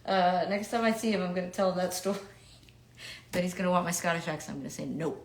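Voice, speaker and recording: A woman's voice: lowish voice, fairly even intonation, a little fast. Chatty New York woman signing autographs. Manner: chatty and upbeat, many fillers, quiet murmurs while writing. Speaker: actor signing autographs on a livestream. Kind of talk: casual chat with fans while signing.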